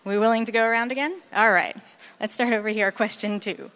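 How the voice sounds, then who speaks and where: A woman's voice: fairly high pitch, fairly even intonation, fairly quick, a bit bright. A woman moderating a group discussion, speaking off the cuff as she sums up and guides the session. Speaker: moderator of a roundtable readout. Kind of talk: facilitating a group discussion, unscripted.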